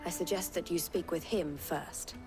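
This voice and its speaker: A woman's voice: fairly low pitch, steady tone, normal speed, music in the background, natural voice. An actress playing a poised, shrewd and courteous woman merchant in a fantasy video game. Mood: courteous, businesslike. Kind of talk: dramatic video game acting.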